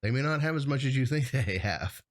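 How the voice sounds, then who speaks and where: A man's voice: mid-range voice, animated, fairly quick. A man co-hosting a casual shop-talk discussion, speaking in an informal, plain-spoken way. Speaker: hazmat consultant and show host. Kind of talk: casual chat, professional topic.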